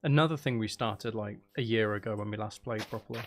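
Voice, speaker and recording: A man's voice: lowish voice, fast talker. A man casually chatting on a live game stream, musing aloud and talking to viewers as he plays. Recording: faint background noise.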